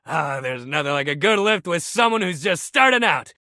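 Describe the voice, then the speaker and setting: A man's voice: a little high, animated, normal speed, sharp tone, dry recording, studio quality. An actor playing an upbeat, friendly jock in a video game, talking in casual bro slang like a hype man. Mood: excited, encouraging. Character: upbeat, friendly jock sports-equipment guy, hyping up a newcomer. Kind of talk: animated video game voice acting.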